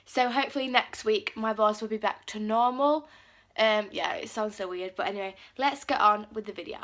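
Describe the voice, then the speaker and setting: A woman's voice: mid-range voice, normal speed, fairly bright tone. A teenage girl with a cold, chatting casually to camera and filling her talk with "like". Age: teens. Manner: chatty, lots of "like" fillers, acts out quotes. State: has a cold. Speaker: vlogger.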